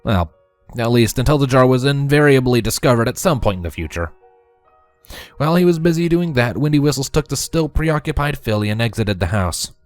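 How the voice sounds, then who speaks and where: A man narrating a story from a script in an expressive reading style. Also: medium pitch, a little fast, dry recording. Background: music.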